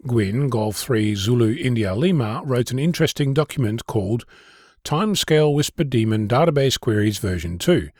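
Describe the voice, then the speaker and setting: A man hosting an amateur radio program, reading scripted explanations in a measured, precise way. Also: mid-range voice, expressive, darkish tone, dry recording, studio quality. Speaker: amateur radio podcast host. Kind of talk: scripted narration.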